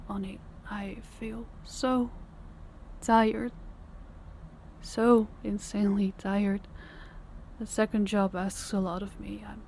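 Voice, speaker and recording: A young woman playing a worn-out, loving girlfriend who talks softly and hesitantly, often stumbling over her words. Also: medium pitch, creaky, a little hiss or hum. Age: young adult. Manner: soft, hesitant, stammering restarts. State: exhausted. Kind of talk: audio roleplay acting.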